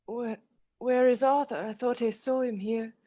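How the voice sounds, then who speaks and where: A woman acting in a radio play as a fragile, affectionate young gentlewoman, speaking gently and expressively. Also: fairly high pitch, fairly even intonation, unhurried. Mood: frail, fearful.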